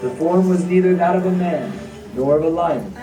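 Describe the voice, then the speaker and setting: A man narrating a scripted puppet show story in solemn, measured phrases. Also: a little high. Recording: echoey, distant, music behind.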